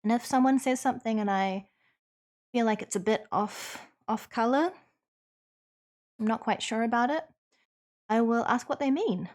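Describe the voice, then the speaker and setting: A woman with a New Zealand accent, streaming and chatting with viewers in an earnest, reassuring way, pausing with ums as she thinks. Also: a little high, darkish tone. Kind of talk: casual chat answering viewers.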